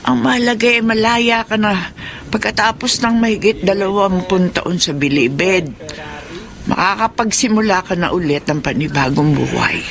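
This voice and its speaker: A woman playing a gentle, apologetic elderly mother in a radio drama, with a halting, motherly way of talking. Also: mid-range voice, creaky, lightly acted. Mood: gentle, reassuring.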